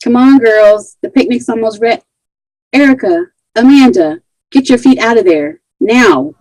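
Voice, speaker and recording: A woman's voice: a little high, unhurried, a little mellow, natural voice, low-quality recording. A woman playing a stern, protective mother in her late thirties at a table read.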